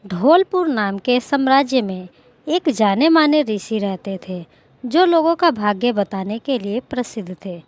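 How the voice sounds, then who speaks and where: A woman's voice: fairly high pitch, expressive, measured pace, a little mellow. A woman reading a scripted moral story as a narrator.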